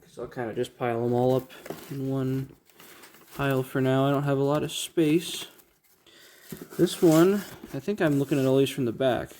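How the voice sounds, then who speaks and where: A man with a soft-edged, relaxed voice talks casually through items as he handles them. He speaks at a middling pitch and often halts to think aloud, like a hobbyist collector hosting his own video. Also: slight background noise. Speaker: record collector, video host. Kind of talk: casual unboxing commentary.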